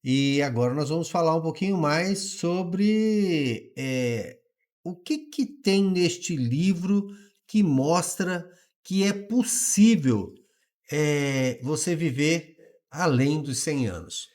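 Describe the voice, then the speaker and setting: A man's voice: a little high, slow, darkish tone. A male podcast host who introduces segments with enthusiastic praise and hesitates as he frames his questions. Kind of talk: podcast interview hosting.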